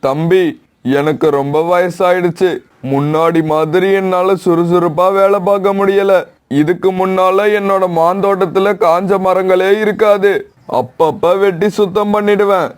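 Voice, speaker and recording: A man's voice: high voice, steady tone, normal speed, clean tone, lightly acted. A man voicing a kindly old pigeon who owns an orchard, in a children's cartoon story. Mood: weary, requesting. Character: old male pigeon orchard owner, kindly, asking for help.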